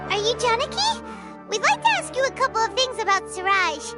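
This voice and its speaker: A woman voicing a tiny, childlike, chirpy fairy companion in a video game, with an animated way of talking. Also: extremely high pitch, fairly quick. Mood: curious. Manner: speaks of self in third person, animated. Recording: music loud behind the voice. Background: game music.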